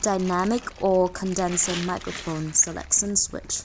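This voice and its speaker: A woman's voice: lowish voice, fairly even intonation, unhurried, noisy background. A woman reading a scripted product overview in a formal manner, listing specifications. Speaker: narrator.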